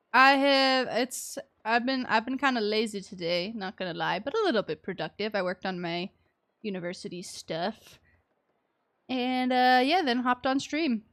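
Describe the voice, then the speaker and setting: Young woman game streamer, chatty n expressive, higher voice, casual livestream talk. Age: young adult.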